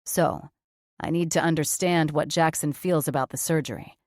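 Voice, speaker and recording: A woman voicing a blunt, cocky male surgeon who swears freely and speaks in a flat, matter-of-fact way. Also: sits low, normal speed, dry recording, studio quality, pitched below the performer's own voice, close to an everyday voice.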